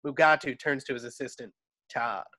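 A man's voice: mid-range voice, normal speed, pushed and bright. A man narrating screenplay stage directions expressively in a table read over a video call. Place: indoors at home on a video call. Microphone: video call microphone.

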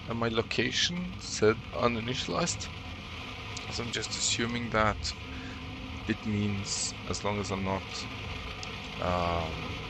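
Norwegian man, low voice, unhurried, laughs a lot, game noise behind. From Norway. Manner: chatty, laughs often, talks to chat. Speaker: game streamer. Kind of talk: casual live-stream chat while playing. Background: game sounds.

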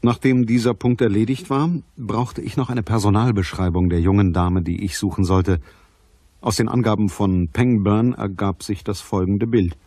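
An actor playing a sceptical private detective in a radio play, speaking in a dry, measured, matter-of-fact way. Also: male voice, lowish voice, steady tone, dark tone, no room sound. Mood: dry, narrating. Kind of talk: radio play narration and dialogue.

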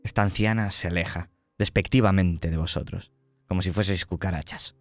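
A man narrating a tabletop horror game as game master, slow and dramatic as he describes scenes. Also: deep voice, fairly even intonation, no room sound, faint music behind.

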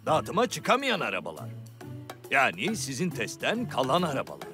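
An actor voicing an impatient, conspiratorial crash-test dummy robot in a children's cartoon. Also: male voice, mid-range voice, huge pitch swings, moderate pace, sharp tone, soft background music, heavily acted. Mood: grave, explaining. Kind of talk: animated children's cartoon acting.

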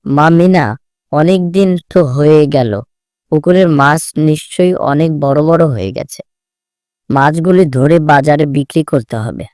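Man acting a gentle village father, dry sound. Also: on the high side, unhurried, no echo, lightly acted. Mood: hopeful. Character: kindly, hopeful village father, plain-spoken fish farmer. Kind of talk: Bengali cartoon voice acting.

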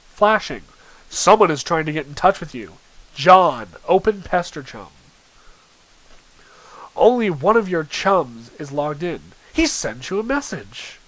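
A young man with a high voice reads a story aloud as narrator. His delivery is theatrical and homemade, with occasional stumbles over the text. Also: no room sound, low-quality recording. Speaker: reader of a webcomic read-aloud. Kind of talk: amateur dramatic read-aloud narration.

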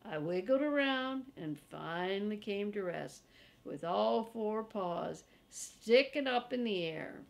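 A woman in her sixties reading a children's chapter book aloud in a folksy, deliberate way, as first-person narration. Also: medium pitch, gravelly. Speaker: storybook reader for children. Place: small furnished room indoors, seated in an armchair.

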